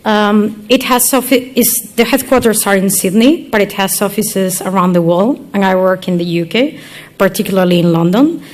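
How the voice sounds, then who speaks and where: A young woman from northwest Spain speaks English with a Spanish accent at a conference. Her voice sits at a middle pitch, and her delivery is loose and chatty, full of fillers and self-deprecating asides. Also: moderate pace, darkish tone. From Galicia, northwest Spain. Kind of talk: conference talk. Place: conference hall.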